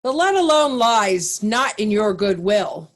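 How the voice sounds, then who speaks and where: A woman playing a stern, righteous duke in Shakespearean reader's theatre, with a measured, formal and commanding delivery. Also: medium pitch, very animated. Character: stern, righteous Duke of Albany, nobleman commanding victorious army.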